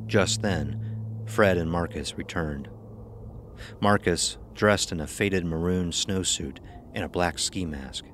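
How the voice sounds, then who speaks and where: A man's voice: deep voice, slow pace, noisy background. A man narrating a scripted horror story, with ambience behind him.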